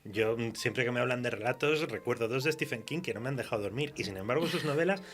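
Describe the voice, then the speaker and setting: A man's voice: medium pitch, fast, a bit bright. A man with a Castilian Spanish accent chatting candidly and colloquially as a writer being interviewed on radio. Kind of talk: casual conversational radio interview.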